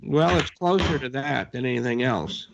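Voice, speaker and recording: A man's voice: mid-range voice, moderate pace, gravelly, noisy background. A man hosting a radio talk show, chatting casually and rambling in an easygoing way.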